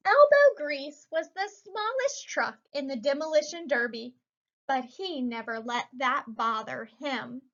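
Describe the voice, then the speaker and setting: High-voiced woman reading a picture book. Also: a little slow. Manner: expressive, dramatic emphasis. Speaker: story reader. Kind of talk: children's picture book read-aloud. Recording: muffled lo-fi recording.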